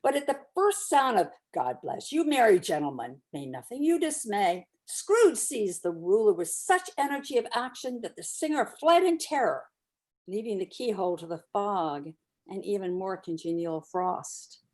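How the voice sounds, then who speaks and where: A woman narrator giving a dramatic storybook reading with expressive, deliberate phrasing. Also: medium pitch.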